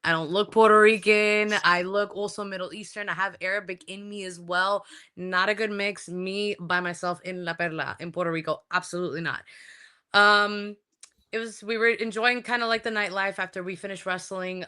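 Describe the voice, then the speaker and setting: A woman's voice: medium pitch, clean tone. A woman from Colombia, a wrestling guest, telling a story casually with lots of fillers and laughter. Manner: animated, laughing, many fillers.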